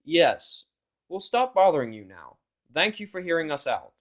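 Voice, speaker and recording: Male reader as humble cartoon stallion dad, somber and measured, pitched above his natural voice. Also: sing-song, fairly bright tone. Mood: resigned. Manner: earnest, measured.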